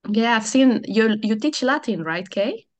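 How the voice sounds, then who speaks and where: A Catalan woman from Barcelona on a video call, hosting a software tutorial webinar and explaining things in a friendly way with frequent ums and restarts. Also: on the high side, animated, measured pace. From Catalonia, Spain. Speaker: customer support webinar host.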